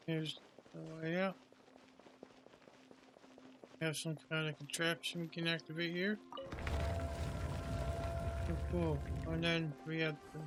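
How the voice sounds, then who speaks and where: A man narrating his own video game playthrough in casual commentary, thinking aloud in a laid-back way. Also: a little high. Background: game music.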